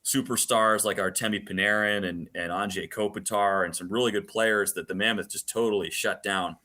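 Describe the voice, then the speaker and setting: A man's voice: lowish voice, fairly even intonation, moderate pace, bright, forceful tone. A man who works as a radio play-by-play announcer, giving casual interview answers about sport, often repeating words and using colorful slang.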